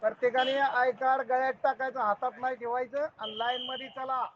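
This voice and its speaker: A man's voice: very high voice, level tone, a little fast. A man playing a strict school staffer giving orders to students in an audio story. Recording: muffled, noisy.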